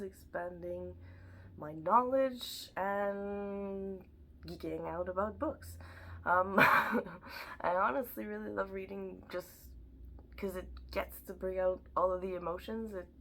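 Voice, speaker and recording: A woman's voice: mid-range voice, creaky, fairly bright tone, slight background noise. A young woman from Montreal with a Quebec French accent, chatting casually to camera about books, sounding nasal from a blocked nose. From Montreal, Canada. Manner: chatty, rambling, self-deprecating. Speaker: BookTube vlogger. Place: at home, indoors.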